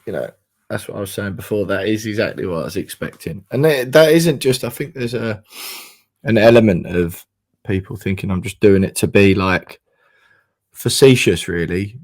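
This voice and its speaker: Hoarse man, low voice. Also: normal speed. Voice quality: rambling, self-correcting, frequent "you know". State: hoarse, croaky voice. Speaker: podcast co-host. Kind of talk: casual football chat.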